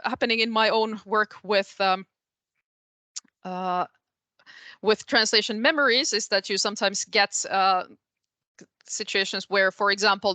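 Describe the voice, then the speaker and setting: Bright-voiced woman with a Finnish accent. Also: mid-range voice, no echo. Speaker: guest speaker, translation researcher. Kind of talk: academic question-and-answer discussion.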